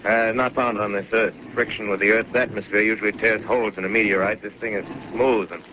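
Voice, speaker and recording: An actor playing a calm professor in a 1930s radio drama, answering in dry, clipped scholarly sentences. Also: male voice, lowish voice, brisk, lightly acted. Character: calm, measured scientist professor, older man. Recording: dull, hissy 1930s broadcast from off-mic.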